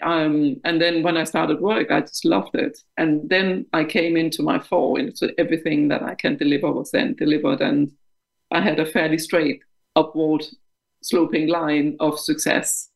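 A woman's voice: low-pitched, little pitch movement, normal speed, creaky, rough recording. A woman in her fifties from Denmark with a Danish accent, speaking frankly and reflectively as an interview guest, laughing at herself. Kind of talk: candid interview conversation.

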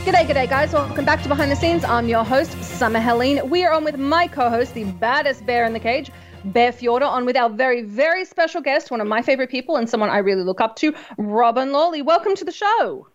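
A woman's voice: high-pitched, expressive, fairly quick, faint music behind. Gushing Australian woman radio host. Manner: gushing and eager, trips over words. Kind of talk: casual talk show hosting.

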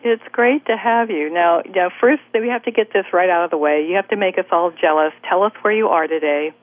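A woman's voice: fairly low pitch, a little fast. A woman hosting a radio interview show, chatty and effusive in her questions. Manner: effusive, chatty, many "you know"s. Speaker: host. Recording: tinny phone-quality broadcast.

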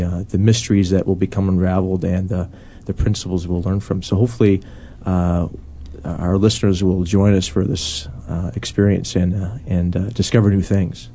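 Low, mellow man with an even tone. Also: moderate pace. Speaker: panelist on a Bible study discussion. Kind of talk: casual explanatory discussion.

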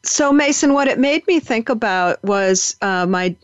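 A man's voice: high voice, expressive, a little slow, dull audio, soft background music. A man hosting a radio interview, talking in a conversational way with fillers. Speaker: radio host.

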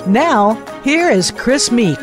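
Woman announcer, lively pitch, scripted intro, music playing behind. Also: takes it slowly. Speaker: show announcer.

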